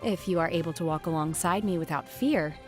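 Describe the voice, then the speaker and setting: A woman playing an aloof, solemn young exorcist in a video game, speaking in a measured, formal, softly deadpan way. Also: deep voice, faint music behind, natural voice. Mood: calm, detached. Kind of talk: scripted video game character voice lines.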